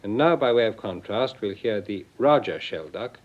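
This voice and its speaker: A man giving measured, explanatory scripted narration for an educational record, heard on a vintage recording. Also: on the low side, lively intonation, background noise. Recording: dull, band-limited vintage record.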